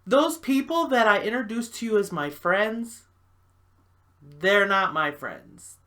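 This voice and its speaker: A woman performing a stage monologue as a frantic, self-confessed jerk pleading desperately, with theatrical swings from hushed to loud. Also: mid-range voice, lively intonation, takes it slowly. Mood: anguished confession.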